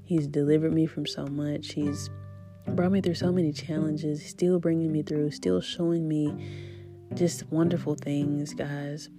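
Female podcast host, low gravelly voice, casual and encouraging, guitar bed. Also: little pitch movement, unhurried. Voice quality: deep voice. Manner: rambling, faith-filled, soft laughs. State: recovering voice after illness. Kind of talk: casual spiritual podcast chat.

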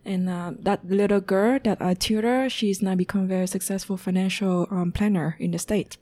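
Guest, woman in her 30s, soft dark tone, unhurried and searching for words. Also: medium pitch, fairly even intonation. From Vietnam. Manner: unhurried, pausing to find words, with fillers. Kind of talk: telling her life story in an interview.

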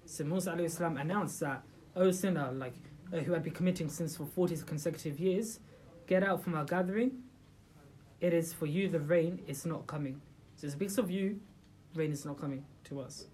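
A speaker leads an informal religious talk for a small group gathered in a room. The voice sits at a middle pitch, with rhetorical questions to the listeners and frequent hesitant restarts. Faint background noise runs under the recording. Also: a little fast, darkish tone, poor audio.

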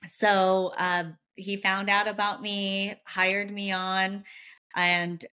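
Woman, chatty guest, mid-pitched voice, lots of likes and ums. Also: little pitch movement, slow, pushed and bright, clean tone. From Vietnam. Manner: chatty, frequent fillers and restarts. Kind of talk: casual interview chat.

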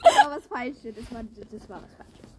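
A woman's voice: a little high, sing-song, moderate pace. A woman co-hosting a podcast in casual, giggly chat with a friend. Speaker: podcast co-host. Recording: noisy outdoor recording in rain.

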